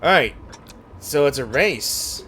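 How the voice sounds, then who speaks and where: A man's voice: mid-range voice, expressive, takes it slowly, sharp tone, a little hiss or hum. A man giving casual gameplay commentary and reading game text aloud as he plays. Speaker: gaming video creator. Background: game music.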